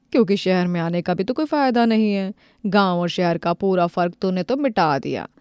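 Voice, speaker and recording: A woman's voice: fairly low pitch, lively intonation, normal speed, darkish tone, clean tone, dry recording, studio quality. A woman doing a sneering neighbor lady. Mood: mocking. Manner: taunting, sneering. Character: mocking middle-aged neighbor woman.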